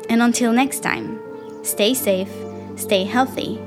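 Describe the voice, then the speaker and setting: A woman's voice: on the high side, takes it slowly, over music. A young woman with an Israeli accent, hosting her own podcast and telling listeners about a lesson from her own life in a reflective, upbeat voice. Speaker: podcast host; dancer. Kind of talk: solo podcast monologue.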